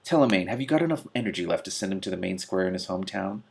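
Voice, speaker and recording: A man's voice: sits low, lively intonation, rapid-fire, vocal fry. Man reading as a stern, wry witch. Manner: stern, dry. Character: brisk, wry, commanding witch woman.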